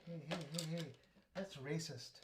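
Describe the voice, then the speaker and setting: A man's voice: fairly high pitch, unhurried. A young man co-hosting a live building stream, chatting casually and teasingly, often restarting his sentences. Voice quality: joking, teasing, trailing off into restarts. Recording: noisy live-stream audio.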